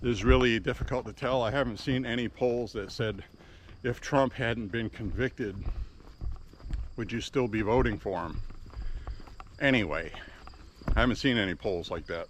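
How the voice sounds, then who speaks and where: A man who once worked in radio, talking slowly and deliberately while walking on a country road, recorded through a Bluetooth earpiece. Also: medium pitch, a little hiss or hum. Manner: slow, deliberate radio-trained delivery. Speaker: walking vlogger, former radio host. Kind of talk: casual walking vlog monologue. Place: outdoors on a quiet country road. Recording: faint crickets outdoors. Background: crickets and insects.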